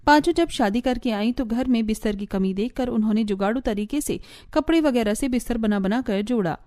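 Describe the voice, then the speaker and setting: A woman's voice: fairly high pitch, a little fast, darkish tone, dry recording, clean studio sound. A woman reading scripted story narration for a family cartoon.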